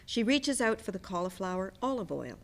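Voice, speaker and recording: A woman's voice: medium pitch, sing-song, fairly quick, a bit bright. A woman narrating scripted stage directions for a play reading.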